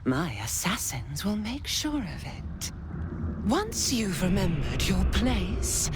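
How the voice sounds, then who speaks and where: An unhinged female zealot from a video game, leader of killers. She taunts and threatens theatrically, extremely slowly, her pitch sliding wildly from low to high, with clear noise behind her. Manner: theatrical, drawn-out menacing delivery. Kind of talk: dramatic video game villain acting.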